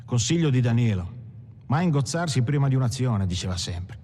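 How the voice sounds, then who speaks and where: An actor playing a militant gangster who coolly gives first-person testimony in measured, clipped, emphatic short phrases. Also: male voice, mid-range voice, big pitch swings, warm tone, close to an everyday voice. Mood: reflective. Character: militant gangster coolly recalling armed action. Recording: faint background noise and ambience.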